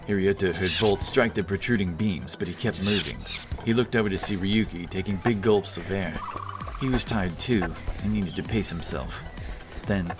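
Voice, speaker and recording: Low-pitched male fantasy narrator. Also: moderate pace. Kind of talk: dramatic scripted fantasy narration. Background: cinematic action music.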